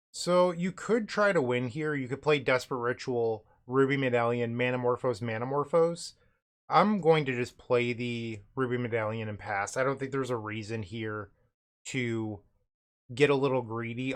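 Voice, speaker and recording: A man talks through a card game as a streamer would, in a casual commentary style. His mid-pitched voice is recovering from sickness, so it tends to crack and waver. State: recovering from illness, voice cracks and cuts in and out.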